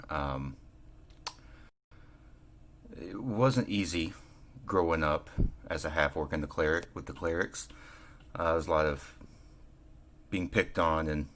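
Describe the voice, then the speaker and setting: A man voicing a stoic young half-orc male cleric in a low, slightly gravelly voice, halting and flat with many pauses.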